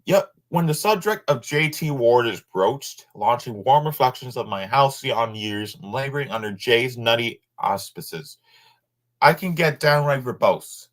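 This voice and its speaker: A man reading a nonfiction book aloud informally, sometimes halting over words and adding asides. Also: medium pitch, sing-song, fairly bright tone.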